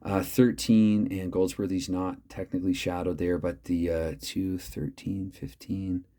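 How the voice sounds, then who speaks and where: A man with a low, naturally loud voice calls a tabletop hockey game from home. He slips between mock arena play-by-play and casual muttering over the dice math, keeping his volume in check. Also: little pitch movement, unhurried. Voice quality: loud voice, holding back. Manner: chatty, thinks aloud over the dice math. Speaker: host narrating a tabletop hockey replay.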